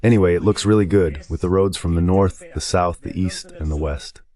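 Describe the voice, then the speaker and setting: Man, deep low voice, guest analyst, explanatory, conversational asides, voice-over commentary. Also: fairly quick, dark tone. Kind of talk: live war commentary read as an AI voice-over translation.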